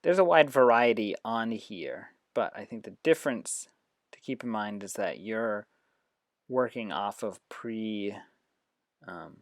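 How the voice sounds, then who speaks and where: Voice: medium pitch, expressive, takes it slowly, no room sound, clean studio sound. An educator giving an informal, explanatory demonstration with read-aloud passages. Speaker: educator demonstrating reading apps. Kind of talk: instructional screen demo with read-aloud passages.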